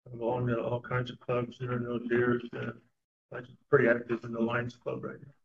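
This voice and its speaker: An older man giving a public comment at a meeting, speaking haltingly with many fillers. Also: medium pitch, monotone, creaky, a little hiss or hum. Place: meeting room. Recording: dull lo-fi meeting recording.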